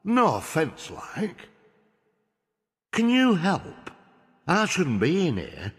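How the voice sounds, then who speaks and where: A man's voice: a little high, wildly swooping pitch, slow, husky, in a large hall, heavily acted. A man playing an anxious, blustering village mayor with a Northern English accent and folksy, dramatic manner in a video game cutscene. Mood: pleading, sorry. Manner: dramatic, exclamatory, folksy dialect.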